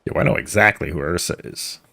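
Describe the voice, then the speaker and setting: A man's voice: sits low, fast, gravelly, pushed and bright. A man streaming a game, chatting casually and reacting aloud to the story. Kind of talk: live game commentary. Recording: stream with game music behind.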